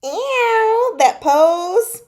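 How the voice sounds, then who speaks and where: A woman chatting casually as a book club host, recapping a novel with gushing, slangy asides and drawn-out emphasis. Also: extremely high pitch, expressive, darkish tone.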